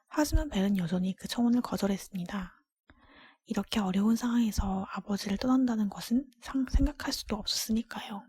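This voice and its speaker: Female ASMR storybook reader, fully whispered and airy, mellow tone, gentle measured delivery, intimate dry close-mic sound. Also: medium pitch, no room sound. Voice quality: breathy, unvoiced whisper. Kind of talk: whispered ASMR fairy-tale reading. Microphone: close microphone, dry.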